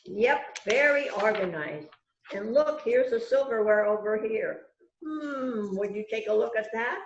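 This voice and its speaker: Folksy, eager sidekick detective, played by a woman for amateur mystery; lightly raised pitch, roomy sound. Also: lively intonation, measured pace. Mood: observant. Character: eager, agreeable junior detective, the boss's sidekick. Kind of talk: amateur readers theater murder mystery. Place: indoors on a virtual event call. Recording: echoey, noisy, muffled video call audio.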